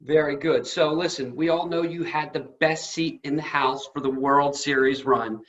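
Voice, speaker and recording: A man's voice: fairly high pitch, normal speed, a bit bright, muffled recording. A man hosting a show, interviewing a guest in casual, upbeat chat. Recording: very echoey room, faint music.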